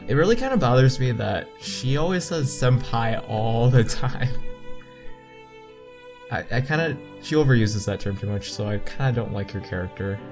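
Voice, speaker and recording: A man doing a game playthrough, reading visual novel text aloud haltingly and adding his own asides. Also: medium pitch, animated. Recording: game music behind the voice. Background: game music.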